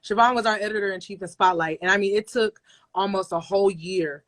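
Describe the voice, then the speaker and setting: A young adult woman, a radio personality, talking in a relaxed interview and telling her story with emphasis, often repeating phrases for effect. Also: medium pitch, fairly quick. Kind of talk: casual interview conversation.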